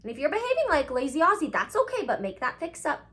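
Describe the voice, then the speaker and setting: A woman's voice: high-pitched, big pitch swings, fast talker, a bit bright. A woman reading a storybook expressively and talking directly to children like a teacher, drawing out key words for emphasis. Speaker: children's read-aloud host, teacher-like.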